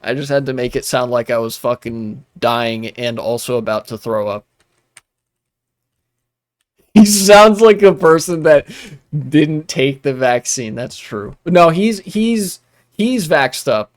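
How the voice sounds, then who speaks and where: A man's voice: mid-range voice, animated, slow, dry recording. A young man streaming while gaming, talking casually with many restarts and blunt asides.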